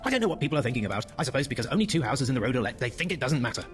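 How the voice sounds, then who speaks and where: A man voicing an irritable, blustering old father in a dramatic audiobook reading, with loud exasperated complaining. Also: mid-range voice, sing-song, rapid-fire, soft background music, voice pushed up, close to an everyday voice.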